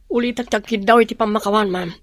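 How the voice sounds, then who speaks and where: A man's voice: high-pitched, fairly even intonation, fast talker, a bit bright, dry recording. An actor with a Filipino accent playing a remorseful, ashamed young man in a radio drama. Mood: pleading.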